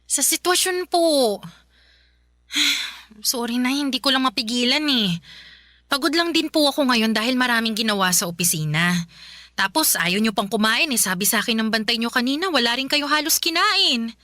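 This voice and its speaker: A weary, hurt grown daughter in a radio drama, pleading in a sharp, fairly high voice. The studio sound is dry and close, with exasperation in the delivery. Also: female voice, lively intonation, normal speed, dry recording. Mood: weary, defensive. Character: weary, hurt adult daughter caring for her sick mother. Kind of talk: Filipino radio drama acting.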